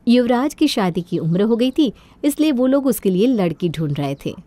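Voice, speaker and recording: A woman's voice: on the high side, sing-song, normal speed, warm tone. A woman narrating a scripted children's moral story.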